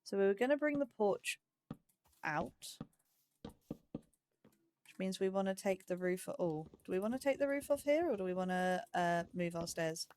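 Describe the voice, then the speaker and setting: A woman's voice: medium pitch, expressive, unhurried, long pauses, darkish tone. A woman giving casual, chatty let's play commentary, thinking aloud with playful, cutesy wording.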